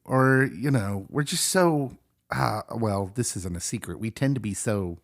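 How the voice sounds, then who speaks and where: An American man in his forties hosting a podcast, chatting casually, laughing often and getting playfully excited. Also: fairly low pitch, unhurried. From United States. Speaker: podcast host.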